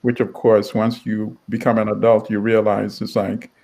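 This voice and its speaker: A man's voice: on the low side, little pitch movement, moderate pace, mellow. A middle-aged man, talking conversationally as an interview guest. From Uganda and Tanzania. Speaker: guest, newspaper editor and publisher.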